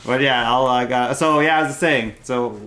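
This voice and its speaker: A man's voice: medium pitch, normal speed, sharp tone, slight background noise. A young man co-hosting a casual podcast, joking and laughing, often trailing off mid-sentence. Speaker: podcast co-host. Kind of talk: casual chat.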